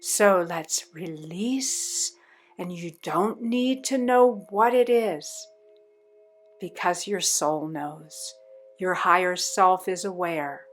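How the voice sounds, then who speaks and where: A woman leading a guided meditation in a soothing, deliberate way with long pauses between phrases. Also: lowish voice, faint music behind.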